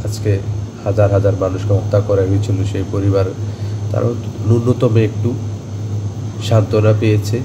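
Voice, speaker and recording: A man with a Bangladeshi accent giving an earnest political statement in deliberate, halting phrases. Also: fairly low pitch, steady tone, noisy background.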